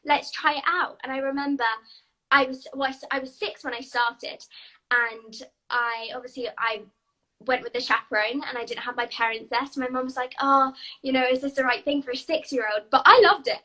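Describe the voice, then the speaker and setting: A woman's voice: sits high, steady tone, brisk, bright, forceful tone, low-quality recording. A young British girl actress, bubbly and eager, answering questions in a live video interview. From England. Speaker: guest actress.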